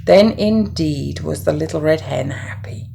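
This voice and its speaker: A woman reading a children's story as narrator, with an expressive, deliberate storybook delivery. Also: low-pitched, vocal fry, slight background noise.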